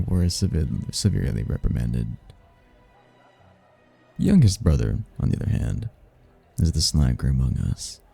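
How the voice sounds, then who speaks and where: Man playing a deep, velvety-dark demon charmer. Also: heavily acted. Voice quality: husky, gravelly. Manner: smooth-talking, courtly, flirtatious. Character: suave, devilishly charming male demon, flirtatious and prideful. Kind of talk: ASMR roleplay, one-sided romantic conversation. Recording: music behind the voice.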